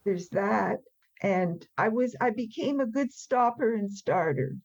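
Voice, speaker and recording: Older woman, husky and candid, dull sound. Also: medium pitch, lively intonation, unhurried, darkish tone. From born in France. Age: 70s. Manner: candid, wry, emphatic. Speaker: interview guest telling a recovery story. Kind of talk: conversational personal storytelling.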